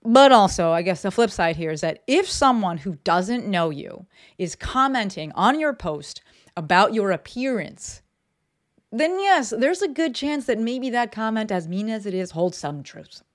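A woman's voice: mid-range voice, sing-song, a little slow. Blunt, swearing podcast host, woman. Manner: blunt and profane, lots of fillers. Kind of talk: casual solo podcast talk. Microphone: desk microphone.

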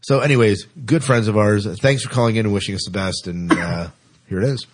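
A man co-hosting a podcast in casual panel chat, with a husky voice. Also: fairly low pitch, fairly even intonation, brisk, slight background noise. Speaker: podcast co-host.

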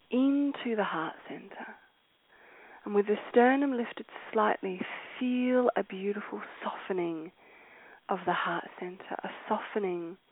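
Slow, soft-spoken woman guiding a meditation. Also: mid-range voice, no room sound. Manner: slow, soft and soothing, drifting into whispers. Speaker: meditation guide. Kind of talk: guided meditation. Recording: dry, tinny phone-like sound.

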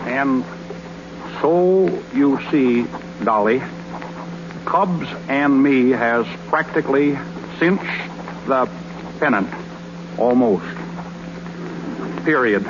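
An actor playing a naive, boastful young country baseball pitcher in a 1930s radio comedy, talking in a folksy, ungrammatical, earnest way. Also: male voice, very slow, long pauses. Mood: dictating slowly. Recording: noisy muffled 1930s radio recording.

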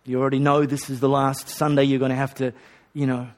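A man's voice: mid-range voice, level tone, fast talker. A male pastor preaching a sermon and leading an altar call in a church. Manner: earnest, leads a repeat-after-me prayer. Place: hot church auditorium.

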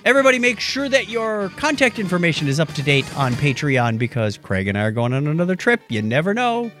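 A man with a lively, swooping delivery and a slightly high voice hosts a casual podcast. He talks in a playful, joking manner, with music faintly behind him. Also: fast. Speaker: podcast host. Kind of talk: casual co-hosted podcast chat.

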